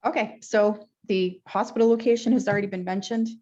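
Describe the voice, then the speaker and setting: A woman's voice: medium pitch, steady tone, fairly quick, mellow, dull audio. A woman candidate giving a passionate forum speech, repeating rhetorical questions. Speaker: Green Party candidate. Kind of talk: debate closing statement.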